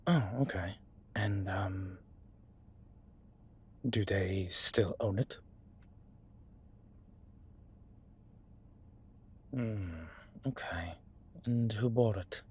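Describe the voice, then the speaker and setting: A man playing a low-key investigator in a roleplay audio drama, speaking quietly and laconically with dry teasing. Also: husky, exaggerated voice. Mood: quiet, musing.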